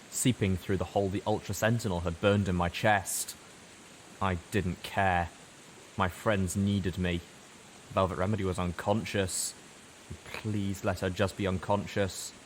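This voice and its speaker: A man narrating first-person fiction dramatically, with weighty delivery and deliberate pauses. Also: low-pitched. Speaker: story narrator. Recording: faint background hiss.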